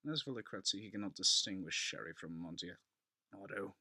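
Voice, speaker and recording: A man's voice: sits low, lively intonation, a little fast, bright, forceful tone, husky, no room sound, clean studio sound, heavily acted. A man playing a drunken, boastful Italian wine connoisseur in a dramatic audiobook reading, exclamatory and dismissive.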